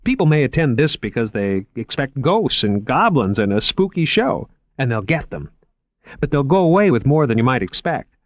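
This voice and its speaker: A man voicing an eager, shy young male museum curator who talks fast and stammers when he gets flustered. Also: mid-range voice, very animated, voice pushed up, close to an everyday voice.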